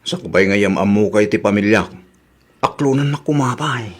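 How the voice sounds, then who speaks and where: An actor playing a boastful, scheming young suitor in a comedy radio drama. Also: male voice, on the low side, normal speed, close to an everyday voice. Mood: smooth-talking. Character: boastful, scheming young suitor lying about his job.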